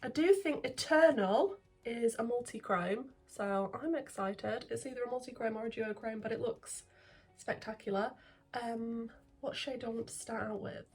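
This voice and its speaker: A woman who is getting over a cold, chatting excitedly through a makeup vlog at home, full of ums and sometimes dropping to a near-whisper. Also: fairly high pitch, normal speed, slightly rough. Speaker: makeup vlogger. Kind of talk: casual beauty vlog chat. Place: indoors at home, filming makeup at a mirror.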